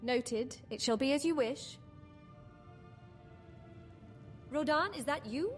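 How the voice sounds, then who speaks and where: A woman's voice: high-pitched, lively intonation, normal speed, soft background music. A woman voicing a formal, devoted mage in a fantasy video game, with courteous, archaic phrasing. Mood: guarded, earnest. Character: formal, devoted female mage of a secretive college. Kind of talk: scripted fantasy game dialogue.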